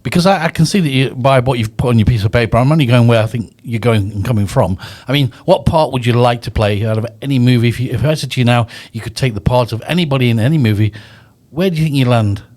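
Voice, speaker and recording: A man's voice: medium pitch, quick pace, hardly any pauses, a little mellow. Playful chuckling male podcast host. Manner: playful, chuckling, repeats himself. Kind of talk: casual podcast interview. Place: studio.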